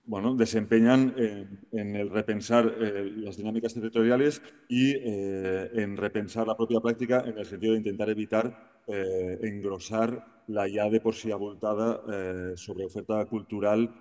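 Forties man, low dark voice, video call through hall speakers, measured and halting. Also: fairly even intonation. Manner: deliberate, frequent fillers. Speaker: panel speaker joining remotely. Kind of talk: measured panel talk.

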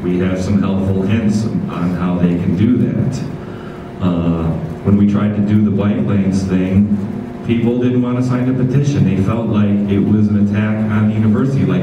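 Muffled, echoing low-voiced man. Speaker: panelist, environmental activist. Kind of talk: casual anecdotal panel talk. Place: meeting room, indoors. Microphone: distant camera microphone. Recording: distant, echoey, muffled, noisy room.

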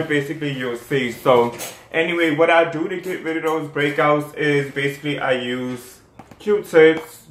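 A man's voice: medium pitch, fairly bright tone, reverberant. A young man with a New York accent, rambling through a casual skincare how-to with lots of fillers. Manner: rambling, chatty, many fillers and restarts. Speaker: skincare vlogger.

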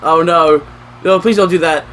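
High, bright young man calling game action. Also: normal speed, slight background noise, poor audio. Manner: excitable exclamations, calling the action. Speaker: co-player. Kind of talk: casual play-by-play banter.

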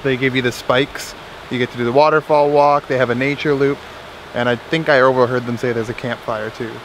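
A man's voice: mid-range voice, steady tone, fairly quick, a bit bright, a little hiss or hum, poor audio. A young man chatting casually and cheerfully to the camera in a travel vlog, filmed at arm's length outdoors and in a hotel room. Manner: upbeat, chatty, laughs easily. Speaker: travel vlogger. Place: outdoors in snowy woods, then hotel room. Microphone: handheld camera held at arm's length.

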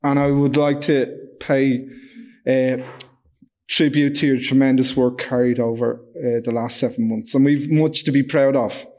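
A man in his fifties from Ireland, reading a prepared speech at a podium in a large function room with a loud voice, measured and formal, with occasional stumbles. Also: mid-range voice, fairly even intonation. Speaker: charity executive giving a speech. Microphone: podium microphone.

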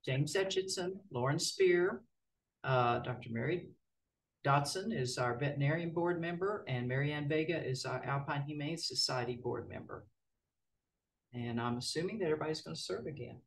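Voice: medium pitch, moderate pace. Chair of a small civic board, casual folksy delivery, picked up at a distance in a meeting room. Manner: folksy, relaxed, hesitant with many "uh" fillers. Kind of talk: formal meeting procedure mixed with casual remarks. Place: city council chamber.

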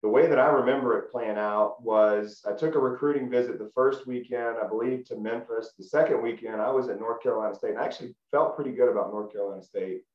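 A man, a guest telling his story in a relaxed way with many restarts over a video call. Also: medium pitch, quick pace, hardly any pauses. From Middle Tennessee. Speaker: guest, former college football player. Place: small room at home, indoors. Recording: roomy, dull video call audio.

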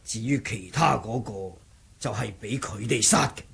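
An actor playing a cunning, composed martial monk in a 1980s radio drama, husky and deliberate in his sly bargaining. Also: lowish voice, crowd noise. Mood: calm scheming. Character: cunning martial monk who feigned muteness, scheming and composed.

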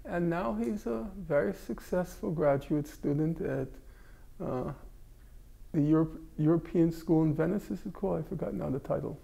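A man's voice: on the high side, moderate pace, creaky, mellow, husky, low-quality recording. An older man teaching a class in a library room, joking wryly and mocking himself as he talks to students. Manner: wry, joking, self-mocking. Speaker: class instructor. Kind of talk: casual classroom talk.

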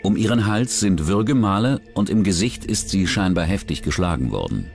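Deep-voiced male true-crime narrator. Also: animated, normal speed, creaky. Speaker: documentary narrator. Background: background music.

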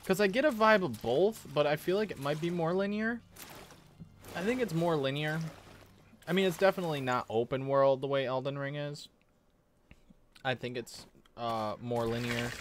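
A young man giving a casual, halting, slangy reaction commentary as a gaming YouTuber at a desk indoors. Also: on the high side, expressive. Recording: clear background noise of game ambience.

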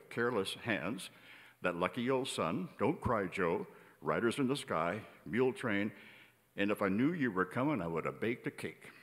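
An American man in his seventies announces from a theater stage with a lively, swinging intonation. He reads from notes in a measured, emphatic way, and his voice rings with the long reverb of a big hall. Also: fairly low pitch. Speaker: announcer introducing a stage show. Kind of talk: prepared stage introduction read from notes. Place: on stage in a large indoor theater. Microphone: vintage-style microphone on a stand.

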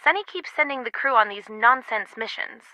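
An actress playing a brisk, wry young woman, a loyal friend and teammate, in a casual video game delivery. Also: on the high side, expressive, pushed and bright, no echo, rough recording. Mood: concerned.

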